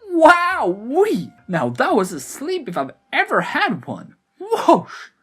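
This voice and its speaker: Woman voicing an excitable, high-pitched little male gnome. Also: slow, soft background music, heavily acted. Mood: giddy, just woken. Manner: exclamatory, rambling, giddy. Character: excitable chatterbox male gnome showman, gleeful and theatrical.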